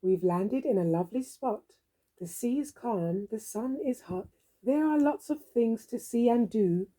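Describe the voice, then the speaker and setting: Female storybook reader for young children: theatrical, expressive, unhurried, with a breathy, husky voice. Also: a little high, low-quality recording. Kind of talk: expressive children's read-aloud.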